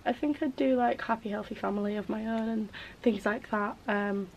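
A woman's voice: a little high, fairly even intonation, normal speed, gravelly, darkish tone, highs cut off, a little hiss or hum. A woman in her twenties who is losing her voice, chatting casually through a get-ready-with-me video in her bedroom, rambling with lots of "like" and "um". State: losing her voice, a bit hoarse. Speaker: beauty vlogger. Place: bedroom, indoors.

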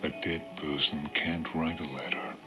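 A man's voice: a bass voice, steady tone, moderate pace, music in the background. An actor playing a haunted, grieving man in a video game, brooding in an introspective monologue.

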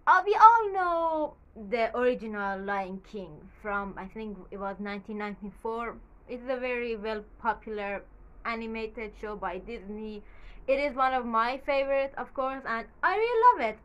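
A woman's voice: fairly high pitch, animated, normal speed, fairly bright tone, clear, resonant tone. A young woman whose first language is Farsi, chatting in a rambling, casual way with many fillers and a slightly nervous edge, recorded in a small bedroom on a camera at desk distance. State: a little nervous. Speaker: YouTuber. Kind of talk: casual movie commentary.

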